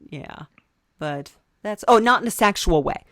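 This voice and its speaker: A woman's voice: medium pitch, lively intonation, unhurried, a bit bright, dry recording. A woman hosting a podcast, interviewing in a dry, playful, rambling way with many fillers. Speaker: podcast host. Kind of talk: improvised comedy interview.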